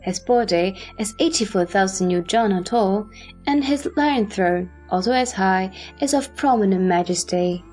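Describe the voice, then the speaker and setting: A woman voicing Manjushri, a stately, wise male bodhisattva, speaking in a measured, reverent way over faint music. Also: medium pitch, animated, darkish tone, close to an everyday voice.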